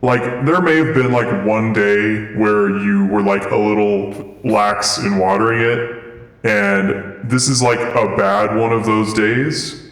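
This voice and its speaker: A young man running a tabletop game as dungeon master, relaxed and halting, with lots of ums. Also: fairly low pitch. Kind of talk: casual tabletop game narration. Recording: echoey room with long reverb.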